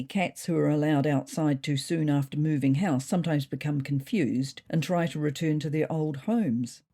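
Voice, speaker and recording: A woman's voice: deep voice, mellow. A woman voicing a thoughtful, neurotic, self-important male ginger cat who narrates his diary in a pompous, wordy way. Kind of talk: first-person storybook diary narration.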